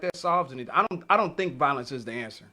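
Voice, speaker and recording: A man's voice: medium pitch, animated, quick pace, sharp tone. A man speaking as a podcast panelist in casual discussion, earnest and insistent.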